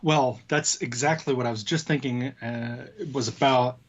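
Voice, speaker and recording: A man co-hosting a casual tech discussion, talking in a halting way as he thinks aloud. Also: medium pitch, fairly even intonation, dull audio. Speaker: co-host of a tech show.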